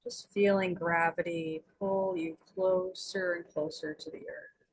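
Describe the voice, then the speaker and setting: Woman, meditation guide, gentle and soothing, breathy husky tone, very slow pace, long pauses. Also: lowish voice, steady tone, poor audio.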